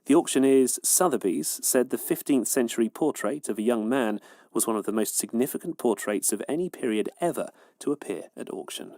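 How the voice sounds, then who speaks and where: A man reading a news bulletin in a crisp, formal way. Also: medium pitch, a little fast, mellow, dry recording, studio quality. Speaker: newsreader.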